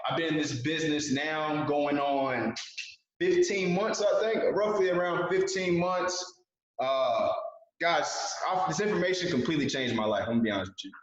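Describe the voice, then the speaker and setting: Man, 20s, guest speaker on a video call. Earnest motivational delivery, repeats himself for emphasis. Very echoey, dull call audio. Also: medium pitch.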